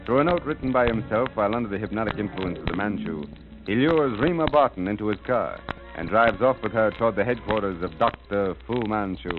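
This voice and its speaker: Old radio announcer guy, lowish voice, formal recap, thin phone-like sound with music under it. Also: lively intonation, fairly quick. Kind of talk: scripted recap narration. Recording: narrow-band 1930s radio with music. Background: dramatic music.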